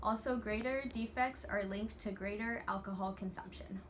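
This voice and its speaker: A young woman student reading a class presentation from a script, steady and earnest. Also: mid-range voice, normal speed, noisy background. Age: young adult.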